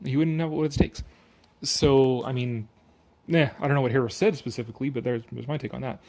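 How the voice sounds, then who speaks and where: A man's voice: mid-range voice, fairly even intonation, a little fast, a little mellow. A man giving a sarcastic, exasperated off-the-cuff commentary, emphatic and freely swearing, a little tipsy. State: tipsy from drinking. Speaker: video commentator.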